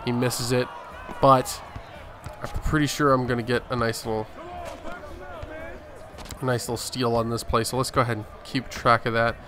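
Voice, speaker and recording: A man's voice: medium pitch, little pitch movement, normal speed, pauses often, bright, forceful tone. A man giving casual, boastful gameplay commentary, narrating each move as a gaming video host.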